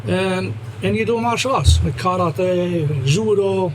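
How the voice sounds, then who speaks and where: Moroccan-accented man, higher voice, noisy. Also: takes it slowly. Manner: plain, slightly broken English, emphatic. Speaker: guest, professional fighter and trainer. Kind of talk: casual interview chat. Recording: noisy background.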